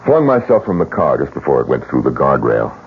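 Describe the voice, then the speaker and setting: A man's voice: rumbling low, very animated, fairly quick. An actor playing a brash, skeptical, demanding man in an old radio mystery drama. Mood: questioning. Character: brash, skeptical, demanding male lodge guest. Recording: dull, hissy old radio recording.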